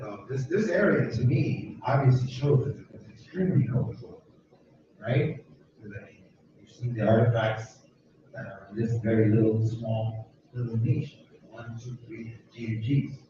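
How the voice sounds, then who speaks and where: Guy leading a neighborhood walk, kinda rambly and emphatic, sounds far off, echoey and muffled with loud ambience. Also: mid-range voice, moderate pace, slight background noise. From United States. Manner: emphatic, rambling, repeats himself. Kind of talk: informal talk to a walking group.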